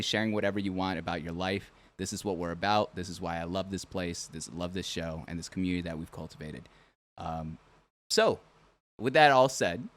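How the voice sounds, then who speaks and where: A man's voice: on the low side, fairly bright tone. A man hosting a podcast interview, earnest and rambling, with many repeats and fillers. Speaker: podcast host. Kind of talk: casual interview questions.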